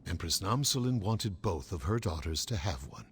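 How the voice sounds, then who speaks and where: An actor playing a grave, devoted middle-aged military captain in a fantasy game, earnest and measured. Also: male voice, deep voice, animated, slight background noise. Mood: solemn, earnest. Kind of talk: fantasy game cutscene acting.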